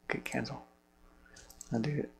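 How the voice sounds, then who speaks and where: A man casually thinking aloud to himself in short fragments as he works at a computer. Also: normal speed. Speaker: game developer narrating own work. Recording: clearly noisy with hum and clicking.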